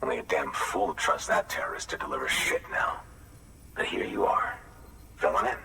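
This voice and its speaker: An actor playing a gruff, distrustful older man in a video game, with a folksy, grumbling manner of speech. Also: deep voice, sing-song, normal speed, pushed and bright, exaggerated voice. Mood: suspicious, bitter. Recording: roomy echo, distant, faint noise.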